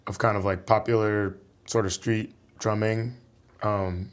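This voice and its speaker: A young man from the United States talking as a guest in a casual interview, relaxed and rambling, with many fillers and restarts. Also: on the low side, measured pace. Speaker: podcast guest, jazz saxophonist.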